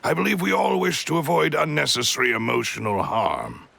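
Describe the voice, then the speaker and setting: A man's voice: fairly high pitch, huge pitch swings, brisk, gravelly, a bit bright, slight background noise, heavily acted. A man playing a loyal, diplomatic retainer in a video game, with a formal, deferential and tactful manner.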